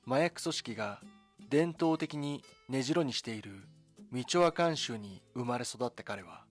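A man narrates a documentary drama in a solemn, measured way. His voice sits at a medium pitch, and he sets out the story in short, deliberate phrases. Music plays underneath him. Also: expressive. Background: background music.

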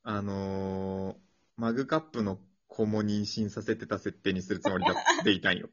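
A man appearing as a radio show guest, chatting casually and excitably in colloquial speech. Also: lowish voice, moderate pace. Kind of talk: casual after-talk chat. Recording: dull lo-fi online audio.

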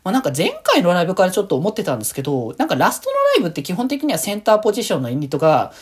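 A woman hosts a casual solo fan chat in a low-pitched, husky voice. Her intonation moves widely up and down. She rambles along with gushing, excited enthusiasm. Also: racing. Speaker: podcast host.

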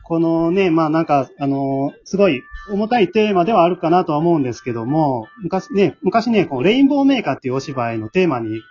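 A man's voice: a little high, big pitch swings, brisk, hardly any pauses, a little mellow, faint music behind. Chatty, polite male host, slightly lo-fi. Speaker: host of an amateur radio drama show. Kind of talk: casual post-show commentary.

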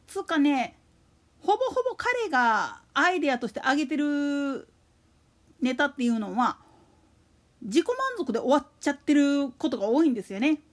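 A woman's voice: sits high, animated, moderate pace. A woman hosting a solo podcast, talking casually in chatty, slangy, colloquial speech. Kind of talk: casual monologue about a radio announcer.

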